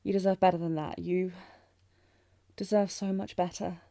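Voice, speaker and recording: A woman's voice: on the low side, level tone, moderate pace, warm tone, no room sound, clean studio sound. A woman playing a tender, anxious young princess in a romantic audio drama, speaking formally and hesitantly with sighs. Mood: contrite, flustered. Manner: formal archaic diction, hesitant, breathy sighs. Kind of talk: scripted romantic fantasy audio roleplay, intimate.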